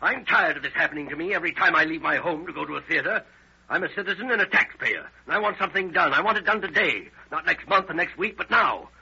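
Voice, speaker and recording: An actor playing an indignant, self-important doctor who makes huffy demands in an old radio drama. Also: male voice, a little high, animated, fast talker, gravelly, bright, forceful tone, breathy edge, exaggerated voice. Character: indignant, demanding, pompous doctor reporting a burglary. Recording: dull vintage radio recording.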